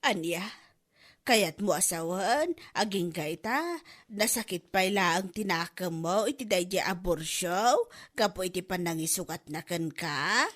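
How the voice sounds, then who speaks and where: A woman with an Ilocano accent playing a sympathetic, motherly aunt who gently admonishes in a comedy drama. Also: on the low side, expressive, a little slow. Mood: concerned. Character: concerned, motherly aunt who keeps a grocery store.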